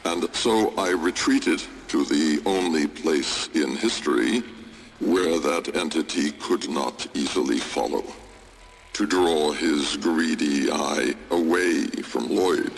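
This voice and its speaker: An actor playing a grave, regretful, secretive older scientist in a video game, speaking in a gravelly, solemn, measured way. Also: male voice, slight background noise, full character voice, low-quality recording. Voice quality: deep, gravelly. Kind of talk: dramatic video game monologue.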